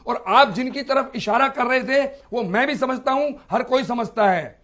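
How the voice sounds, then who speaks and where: High-voiced man arguing point by point. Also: moderate pace, a bit bright. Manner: point-by-point, challenging with yes-or-no questions. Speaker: debate panelist, political commentator. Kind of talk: argumentative TV debate talk. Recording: dull, band-limited broadcast audio.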